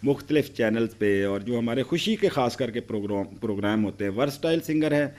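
A man's voice: medium pitch, expressive, brisk. Effusive middle-aged male TV host. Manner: warm, effusive introductions. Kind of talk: TV talk show hosting. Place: TV studio set.

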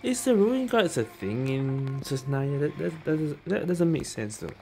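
A man's voice: a little high, sing-song, unhurried. A man giving casual let's play commentary as a gaming streamer. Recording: loud game music behind voice.

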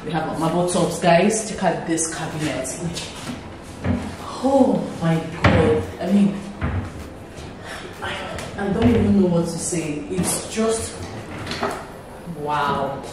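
A woman's voice: lowish voice, slow pace, long pauses, far from the mic, background noise. A young Nigerian woman giving an enthusiastic, exclamatory walkthrough of a house, recorded on a camera a few steps away. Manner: gushing, exclamatory, repeats praise. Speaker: vlogger giving a house tour. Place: empty furnished house, indoors, echoey rooms. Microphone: camera mic, filmed from a few steps away. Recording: roomy echo, off-mic, loud music bed.

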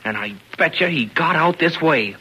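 An actor playing an eager, excitable high-school boy with an American accent in a 1940s radio serial, earnest and full of gee-whiz exclamations. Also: moderate pace, slightly rough, heavily acted. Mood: excited, alarmed. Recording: noisy old radio broadcast recording.

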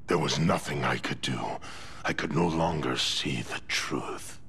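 Very deep, gravelly beast. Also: male voice, pushed and bright, exaggerated voice. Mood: remorseful. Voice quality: gravelly, husky growl. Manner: heavy, anguished, halting. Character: huge, brooding, tormented male beast prince.

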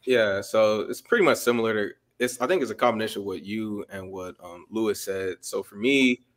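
A man's voice: on the low side, fairly quick, a bit bright. A man in casual sports talk on a video call, hesitant and full of fillers and restarts. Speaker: podcast panelist.

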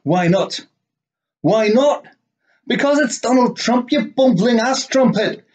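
A man's voice: very high voice, lively intonation, takes it slowly, darkish tone. A middle-aged man vlogging in a living room, giving rambling, wordy reviews and sarcastic commentary. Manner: sardonic, wordy. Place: small living room, indoors.

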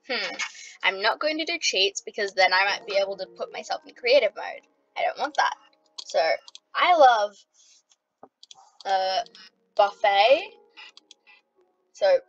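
A woman with an Australian accent, chatting casually as she plays a computer game, with lots of ums and sudden excited outbursts. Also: mid-range voice, muffled recording. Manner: rambling, many ums, bursts of playful excitement. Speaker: gaming vlogger.

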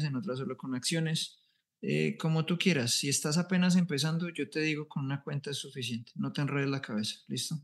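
Guy teaching online, upbeat, reassuring, straight to the point, bit high voice. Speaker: trading instructor. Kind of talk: online class Q&A.

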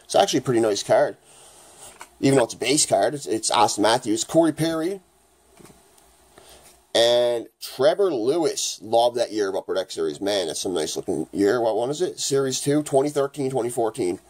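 Guy w a raspy post-flu voice, rambly card-opening chat in his kitchen, mid pitch. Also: moderate pace. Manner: chatty, rambling, excited at good pulls. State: raspy, getting over the flu. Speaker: hockey card channel host. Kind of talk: casual card-opening vlog. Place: home kitchen.

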